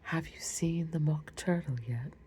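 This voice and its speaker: A woman's voice: sits low, unhurried, dark tone, slight background noise. A woman voicing a commanding, short-tempered queen in a whisper with a clipped, put-on English accent. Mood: imperious.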